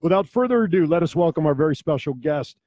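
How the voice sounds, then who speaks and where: A co-host with a New Jersey accent rattles off quick, joking banter in a studio. His voice is mellow and a touch high for a man. He talks fast on a dry, close microphone. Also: animated, dry recording. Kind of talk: casual radio chat. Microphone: studio mic.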